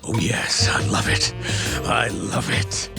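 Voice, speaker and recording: Sleazy smug middle-aged scientist, low slow voice, game cutscene, music blasting behind. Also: male voice, vocal fry, heavily acted. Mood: leering. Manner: theatrical, leering, drawn-out delivery with creepy chuckles. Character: lecherous, smug middle-aged male researcher being interviewed. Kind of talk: video game cutscene acting. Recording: loud background music.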